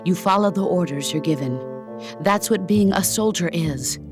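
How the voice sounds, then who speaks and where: A stern, middle-aged female war veteran, played by a woman in a video game drama. Her voice is fairly low, solemn and deliberate, like a mentor lecturing. Music plays clearly behind her. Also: close to an everyday voice. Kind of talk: video game cutscene voice acting.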